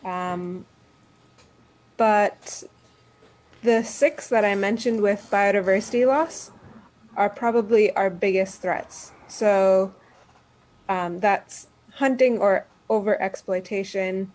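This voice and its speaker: A woman answering a question as a guest resource speaker, deliberate and pausing as she lists points. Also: mid-range voice, steady tone, darkish tone, low-quality recording.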